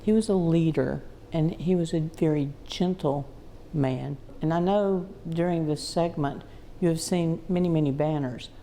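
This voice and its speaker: A woman's voice: low voice, measured pace, dark tone. A woman, explaining local history as a museum tour guide. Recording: clear background noise, room ambience.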